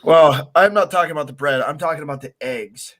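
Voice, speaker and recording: A man's voice: fairly high pitch, a little fast, a bit bright. A man co-hosting a casual livestream chat with teasing, light-hearted banter. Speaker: co-host.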